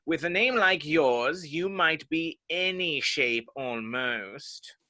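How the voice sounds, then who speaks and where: A man's voice: fairly high pitch, expressive, slow pace, pushed and bright, exaggerated voice. A man playing a haughty, touchy, pedantic egg character in a dramatic reading of a children's classic. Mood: haughty, provoked.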